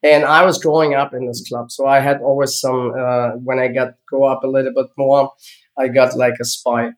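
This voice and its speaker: A man in his fifties from East Germany with a German accent, speaking English as a second language and telling his life story as a guest in an interview, halting and searching for words. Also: mid-range voice, flat delivery, poor audio. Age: 50s. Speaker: guest, former gymnast.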